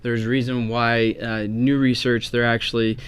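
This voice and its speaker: A man's voice: medium pitch, little pitch movement, a little slow, clean tone. A chiropractor, a man, patiently explaining health topics on a talk show, often checking in with "right?". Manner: patient explainer, frequent tag questions. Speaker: chiropractor guest.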